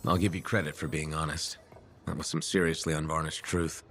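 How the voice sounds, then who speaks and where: An actor voicing a supportive video game freelancer hero in a plain-spoken, measured, reassuring way. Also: male voice, extremely low pitch, level tone, fairly bright tone. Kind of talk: video game character dialogue.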